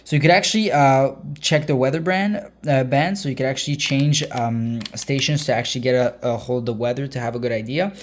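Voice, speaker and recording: A man giving a casual hands-on product review as a video host, explaining informally with frequent hesitant uh pauses. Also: medium pitch.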